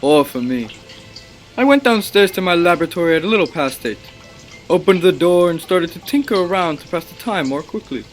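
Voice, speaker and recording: A man's voice: sits high, animated, brisk, faint music behind. An actor playing an obsessive, passionate young male scientist in a radio play, with earnest, theatrical and formal delivery. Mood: grave, haunted. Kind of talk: dramatic radio play acting and narration.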